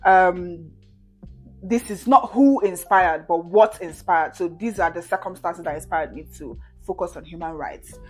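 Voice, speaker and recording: Chatty young woman vlogger over soft music. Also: lowish voice, measured pace. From Nigeria. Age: 20s. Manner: chatty, frequent "you know" and "right?" fillers, laughs often. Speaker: vlogger answering viewer questions. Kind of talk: casual talk to camera.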